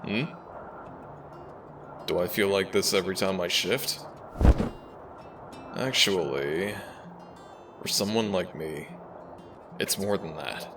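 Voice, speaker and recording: A man playing a smitten young dragon shifter prince in a romantic ASMR audio roleplay, speaking tenderly and a little haltingly to the listener. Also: low-pitched, over music. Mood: warm, elated.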